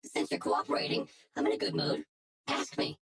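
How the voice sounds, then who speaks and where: An actress playing a menacing, smug female hacker in a video game, taunting and commanding, with a breathy, gravelly edge. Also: moderate pace, close to an everyday voice. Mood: smug, condescending. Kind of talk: English video game voice acting.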